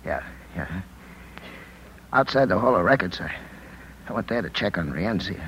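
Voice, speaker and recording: Shaken, injured male reporter, lowish and faltering, vintage radio play with slight hiss. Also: lively intonation, fairly bright tone, highs cut off. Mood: weak, halting. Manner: halting, weary, broken phrases. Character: battered, shaken young male newspaper reporter. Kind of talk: 1950s radio drama acting. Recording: noisy vintage 1950s radio recording.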